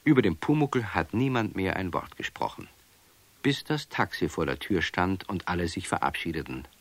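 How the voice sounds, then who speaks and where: A man's voice: sits low, animated, moderate pace, a bit bright. A man narrating a scripted children's radio story as a storyteller.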